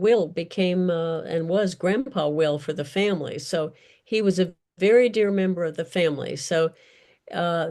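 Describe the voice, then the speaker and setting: Woman author answering questions, halting and careful. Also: lowish voice, a little mellow. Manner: careful, halting, searching for words. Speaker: author, guest speaker. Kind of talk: unscripted question-and-answer discussion. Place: virtual event.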